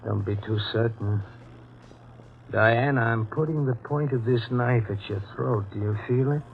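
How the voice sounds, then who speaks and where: A man's voice: medium pitch, vocal fry, slight background noise. An actor playing a tormented escaped madman, menacing and pleading, in theatrical old-time radio horror style. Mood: quietly menacing. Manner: theatrical, intense, halting menace. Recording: tinny old radio recording with music.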